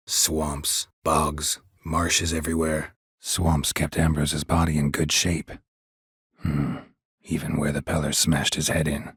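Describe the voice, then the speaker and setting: A man voicing a gruff, weary monster hunter in a fantasy video game, with a husky rasp and terse, deadpan, sardonic sentences. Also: extremely low pitch, no room sound, heavily acted. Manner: terse, clipped, deadpan sentences with dry sarcasm.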